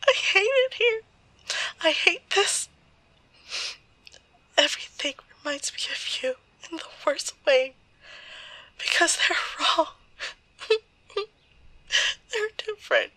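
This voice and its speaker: A woman playing a grieving, bitter young woman in a halting, intimate audio drama monologue. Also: extremely high pitch, pushed and bright, no echo, heavily acted, poor audio. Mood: anguished, tearful. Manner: halting, hesitant, slow. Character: grieving young woman, bitter, leaving voicemail for lost close friend.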